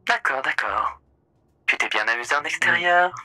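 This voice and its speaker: A man's voice: on the high side, lively intonation, moderate pace, sharp tone. A man voicing a polished, theatrical hotel host in an online roleplay, with formal, old-fashioned phrasing. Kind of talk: in-character roleplay chat.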